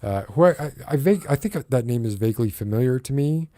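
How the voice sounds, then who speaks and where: A man's voice: medium pitch, animated, fast, dark tone, dry recording, clean studio sound. A man hosting in a casual, hesitant, informal chatty style. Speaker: host.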